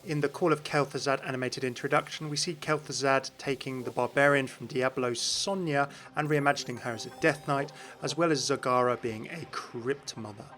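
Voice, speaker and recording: A man's voice: medium pitch, steady tone, normal speed, few pauses, fairly bright tone. A man narrating video game lore as the host of a gaming video, in a scripted, explanatory style.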